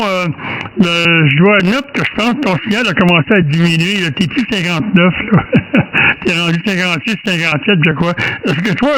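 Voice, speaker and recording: A man's voice: high-pitched, fairly bright tone, low-quality recording. A jovial man with a Québécois French accent, chatting casually as an amateur radio operator. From Canada. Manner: jovial, chuckles often. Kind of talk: casual radio contact chat.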